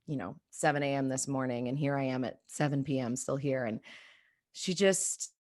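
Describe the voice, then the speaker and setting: A woman with a distinctly low voice talks as an actor in a casual interview. Her manner is enthusiastic and conversational. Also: moderate pace, darkish tone.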